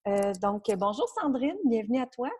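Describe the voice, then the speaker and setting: A woman's voice: on the high side, expressive, moderate pace, mellow, husky. A woman with a Quebec French accent hosting a podcast and chatting with a guest in a conversational interview style. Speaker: podcast host, coach.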